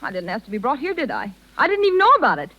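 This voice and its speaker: An actress playing a brash, slangy young circus rider, feisty and proud, in 1930s radio drama style. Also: female voice, fairly high pitch, sing-song, racing. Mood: indignant. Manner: slangy, plain-spoken, feisty. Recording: dull, lo-fi 1930s radio recording.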